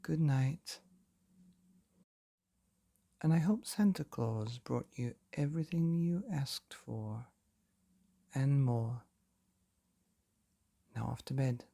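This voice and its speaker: A man reads a children's story with a mellow, gravelly voice. His delivery is dramatic and lively in pitch, with long pauses between phrases. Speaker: bedtime story podcast host and reader. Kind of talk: scripted children's story narration.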